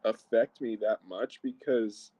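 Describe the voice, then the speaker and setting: A man's voice: fairly low pitch, steady tone, slow, dark tone, no room sound, lo-fi sound. A young man in a casual voice chat, rambling and filling his speech with "like". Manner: rambling, heavy use of "like". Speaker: chat participant.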